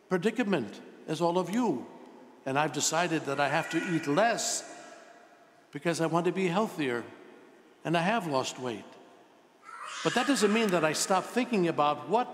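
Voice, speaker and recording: Older guy in his 60s preaching as a priest, kinda higher voice, big church echo, pauses a lot for emphasis. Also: a little hiss or hum. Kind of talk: sermon. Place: large church, indoors at the altar. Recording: long reverberant church echo.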